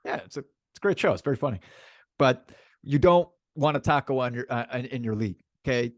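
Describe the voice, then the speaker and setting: Male sports podcast co-host, mid-pitched, casual and animated banter, repeats himself for emphasis, dry recording. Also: fairly quick, dry recording.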